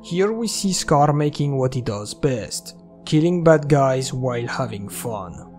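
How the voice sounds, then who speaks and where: A man delivering a scripted video breakdown as narrator, in a measured, explanatory way. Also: mid-range voice, expressive. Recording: music under the voice. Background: video game soundtrack music.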